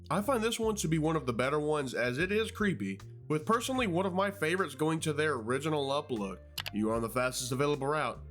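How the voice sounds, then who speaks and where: A man's voice: a little high, fairly bright tone. A man narrating a video essay as a YouTube host, in a playful, conversational way. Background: music.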